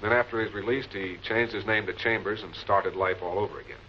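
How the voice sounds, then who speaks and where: A man playing a composed, probing public prosecutor in 1940s television crime drama style, measured and politely pressing. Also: lowish voice, fairly even intonation, close to an everyday voice. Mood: measured, explanatory. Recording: muffled, noisy old film soundtrack.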